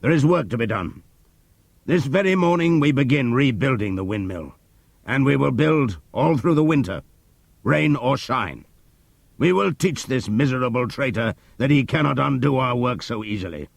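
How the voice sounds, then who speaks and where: A stern boar dictator as a male narrator performs him rallies his animals in a storybook. His delivery is declamatory and wrathful, with a very animated pitch and a dry, close sound. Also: normal speed, creaky, dry recording. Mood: rallying, stern. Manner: declamatory, stern, emphatic oratory.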